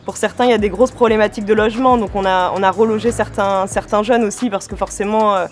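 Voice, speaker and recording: A woman's voice: mid-range voice, fairly even intonation, fast talker, soft background music. A woman in a programme manager role, explaining her work in an interview.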